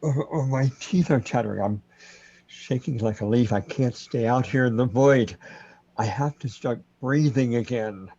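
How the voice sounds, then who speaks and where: A man acts out a desperate, panicking man in a sci-fi radio play. His voice is mellow and husky, and he falters and pleads slowly. The recording is muffled and roomy. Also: medium pitch. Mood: desperate, panicked. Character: panicking man lost in the void, desperate and fretful.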